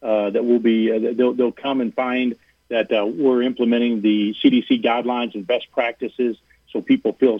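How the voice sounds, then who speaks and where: A man calls in to a sports radio show and talks earnestly, his voice squeezed thin by a phone line. He rambles, restarts and fills gaps with "uh", and his voice sits in a middle pitch in a dry, close sound. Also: no room sound. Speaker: athletic director guest.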